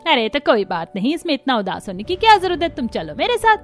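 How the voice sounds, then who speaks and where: A woman's voice: high voice, very animated, fast, faint music behind, voice pushed up. A woman voicing a cheerful, bossy middle-aged mother-in-law in a cartoon story, exclaiming and insistent. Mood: pleased, reassuring.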